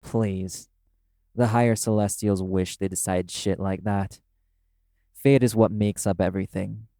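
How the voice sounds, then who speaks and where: A man's voice: low-pitched, steady tone, measured pace, warm tone, no echo. A man playing a brash, cynical male demon who speaks bluntly and scornfully in an audio roleplay. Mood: cynical, dismissive. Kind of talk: dramatic audio roleplay addressed to the listener.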